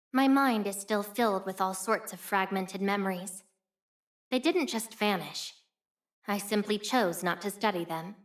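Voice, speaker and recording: A woman's voice: medium pitch, natural voice. Game role: actress plays a young swordswoman of fire, mid-range voice, proud and blunt, with offhand swagger. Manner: cool, cocky, nonchalant delivery. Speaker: video game voice actor. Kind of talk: scripted game character voice lines.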